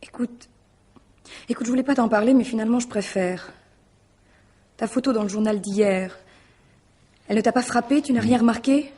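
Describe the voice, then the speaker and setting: An anxious, husky-voiced mistress. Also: female voice, on the high side, moderate pace, pauses often, warm tone. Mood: concerned. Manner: intimate, pleading, reproachful. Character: anxious, reproachful mistress of a murder suspect. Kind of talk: French radio mystery drama acting.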